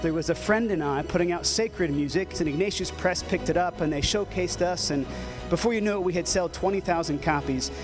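A man's voice: sits high, fairly quick. A man giving a testimonial address as an event speaker, with music playing behind him.

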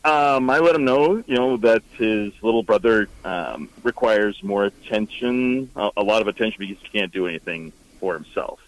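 A young man calling a radio show from a car by the roadside, speaking haltingly with many fillers. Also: fairly low pitch, expressive, no room sound, poor audio. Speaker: caller. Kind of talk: phone-in call.

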